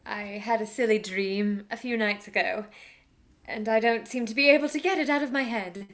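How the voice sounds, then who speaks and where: A woman playing a delicate, troubled young gentlewoman in a radio play, earnest and dramatic in delivery. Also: fairly high pitch, moderate pace, lightly acted. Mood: polite insistence. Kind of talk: theatrical radio play acting.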